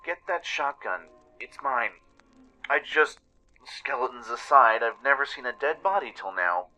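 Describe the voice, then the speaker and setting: Man playing an anxious, higher-pitched young pony adventurer. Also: lively intonation, a little slow, bright, forceful tone, faint music behind. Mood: shaken. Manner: hesitant, flustered. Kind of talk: radio play acting.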